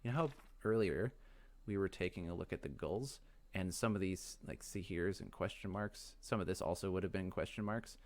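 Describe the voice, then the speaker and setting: A man with a lowish voice hosts a casual let's play. He muses out loud and talks to himself, wandering from one thought to the next in loose game commentary. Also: moderate pace. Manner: rambling, musing, talks to himself.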